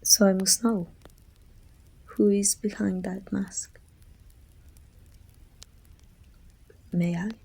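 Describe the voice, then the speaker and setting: Husky, creaky woman acting a weary female supervillain. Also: mid-range voice, fairly even intonation, slow, mellow, heavily acted. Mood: pensive, hushed. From Mexico. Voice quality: breathy, husky, often dropping to a whisper. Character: weary, bittersweet female supervillain confronting a dying rival. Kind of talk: ASMR roleplay acting.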